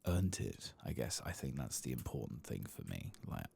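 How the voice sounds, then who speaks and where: A soft-spoken man doing whispered ASMR game commentary in a breathy, gravelly voice, musing in an unhurried, hesitant way. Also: extremely low pitch, sing-song, no room sound. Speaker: gaming ASMR host.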